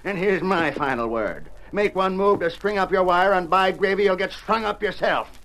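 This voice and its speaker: An actor playing a stubborn, threatening old ranch owner in a radio western, giving blunt orders in folksy cowboy talk. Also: male voice, sits high, lively intonation, normal speed, fairly bright tone. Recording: faintly noisy old radio recording.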